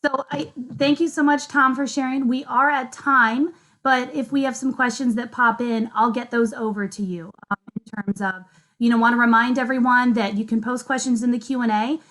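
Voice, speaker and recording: A young woman hosting a virtual conference session, moderating questions in a friendly, relaxed way from an office. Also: fairly high pitch, moderate pace, poor audio. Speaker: event host, moderator. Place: office, indoors. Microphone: video call.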